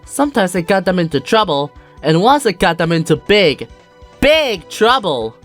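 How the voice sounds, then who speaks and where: A narrator reading a children's storybook aloud in an animated, theatrical way for a fan dub. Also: mid-range voice, normal speed. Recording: music behind the voice.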